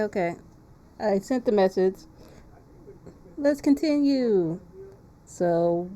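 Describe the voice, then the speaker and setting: Mellow-voiced woman streaming casually. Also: medium pitch. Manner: chatty, informal, repeats phrases. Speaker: streamer hosting a visual novel playthrough. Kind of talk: casual live-stream chat. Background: music behind the voice.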